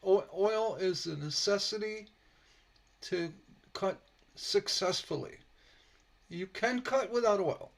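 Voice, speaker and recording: A man teaching stained glass on a casual live stream, slightly hoarse from a sore throat, relaxed and rambling with many fillers. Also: high voice, slow pace. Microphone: computer mic on a live stream.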